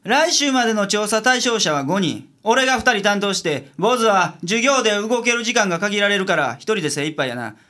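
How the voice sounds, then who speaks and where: Radio drama private eye played by a man: gruff, shrewd, Kansai accent, very lively pitch movement. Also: normal speed, hardly any pauses. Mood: blunt, brusque. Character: gruff, money-minded male private detective, blunt and shrewd. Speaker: amateur radio drama actor.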